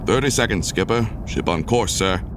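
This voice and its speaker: A man playing a dutiful space cruiser navigator in a radio sci-fi drama, reporting crisply and respectfully. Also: fairly low pitch, expressive, moderate pace, background noise, close to an everyday voice. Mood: dutiful, alert. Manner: crisp, deferential military reporting. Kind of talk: old-time radio sci-fi drama acting.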